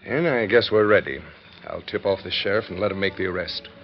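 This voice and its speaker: Casting: authoritative frontier marshal, lowish voice, matter-of-fact, old radio Western, muffled sound. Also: male voice, fairly quick, faint music behind. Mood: businesslike. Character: businesslike, purposeful lawman of the Old West. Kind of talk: classic radio Western acting. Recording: dull, lo-fi old radio recording.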